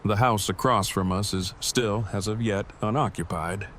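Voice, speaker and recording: A man's voice: lowish voice, moderate pace, fairly bright tone, a little hiss or hum, close to an everyday voice. A man playing a wordy, protective husband and father in an audio drama, speaking in a stiffly formal, precise way. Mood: matter-of-fact.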